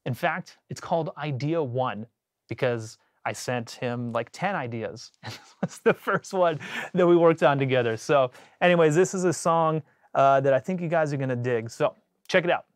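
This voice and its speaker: A man hosting a casual livestream as a bassist, rambling with lots of fillers and restarts, his voice a little off after a cold. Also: normal speed, no echo, studio quality. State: recovering from a cold.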